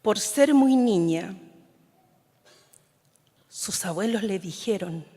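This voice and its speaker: A woman poet from Chile whose mother tongue is Mapudungun, reading her poems in a measured, solemn way, often letting lines fade into a whisper. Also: medium pitch, lively intonation, dark tone, breathy edge. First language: Mapudungun (Huilliche). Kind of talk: slow, solemn poetry reading. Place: large conference hall. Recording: long reverb of a large hall.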